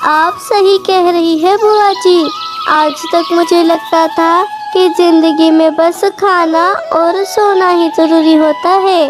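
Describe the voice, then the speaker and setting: A very high, slow-spoken young male crow voiced by an actor from a children's cartoon. He sounds earnest and pleading, stressing his words, with clear music playing behind him. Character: lazy young male crow, pleading, then remorseful and hopeful. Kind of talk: Hindi children's cartoon story dialogue.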